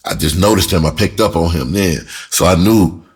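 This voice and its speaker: A man with a deep, rough-edged voice chats as a storyteller. His delivery is casual and animated, peppered with swearing and slang, and he circles back to repeat phrases for emphasis. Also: normal speed. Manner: breaks into laughter often while talking. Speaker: screenwriter and performer telling his own story.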